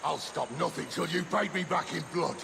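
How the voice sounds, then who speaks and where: An actor playing a mad, vengeful, snarling pirate captain in a video game, menacing and taunting, with a gravelly voice. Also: male voice, on the high side, normal speed, noisy background. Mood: crazed, bitter. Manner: menacing, taunting, foul-mouthed. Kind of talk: video game cutscene acting. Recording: music behind the voice.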